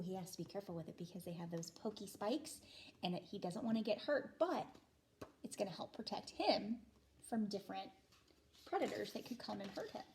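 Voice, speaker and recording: A woman's voice: medium pitch, slight background noise. A woman teaching kindergarten, reading a picture book aloud expressively and explaining as she goes. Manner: expressive, explanatory, with stressed phrases. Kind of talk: picture book read-aloud for young children.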